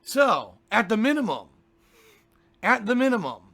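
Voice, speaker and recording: A sick, hoarse man with a gravelly, sharp-edged voice, talking loosely to his viewers before a game stream. He grumbles and rants, sounds stuffed up, and keeps stopping to clear his throat. His voice sits a little high for a man. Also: big pitch swings, a little slow. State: sick with a cold, hoarse and congested. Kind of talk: casual pre-stream chat to viewers.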